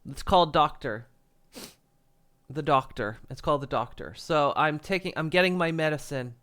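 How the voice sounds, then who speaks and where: A man with a slightly raised voice chats to his stream audience while clearly congested and stopped up. He rambles, laughs often, and trips over his own sentences. He sounds like he is talking into a desk mic at a home setup. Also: a little high, normal speed, fairly bright tone. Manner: rambling, frequent chuckles and restarts. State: congested, stuffy nose. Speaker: livestreamer. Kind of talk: casual stream chat. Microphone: desk microphone.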